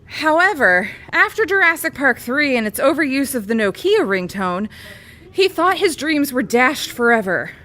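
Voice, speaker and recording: Woman reading a dirty parody story out loud, highish voice, really animated. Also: moderate pace. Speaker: comedy storyteller.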